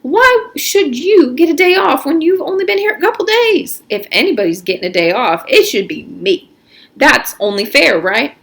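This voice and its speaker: A woman's voice: high-pitched, expressive, normal speed, gravelly, fairly bright tone, pitched above the performer's own voice, heavily acted. A woman reading the part of a coaxing, self-serving teenage boy who leads a gang, with informal, persuasive talk. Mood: insistent.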